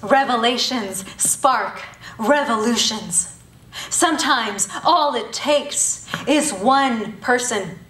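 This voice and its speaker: A woman in her thirties from Bangladesh performs a spoken-word piece live, her slightly high voice pressing each listed word with emphatic, rhetorical pauses. She sounds set back from the microphone, and a roomy hall echo trails her lines. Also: a bit bright. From Bangladesh, raised in Thailand. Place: university auditorium before an audience. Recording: echoey hall, voice from a distance.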